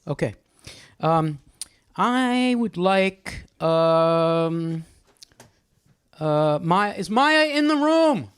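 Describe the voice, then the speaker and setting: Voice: mid-range voice, expressive, very slow, smooth, dry recording. A host leading a group discussion at a live gathering, speaking conversationally and teasingly, calling on people by name. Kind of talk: informal live moderating, with some poetry reading. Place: indoor room at a live gathering.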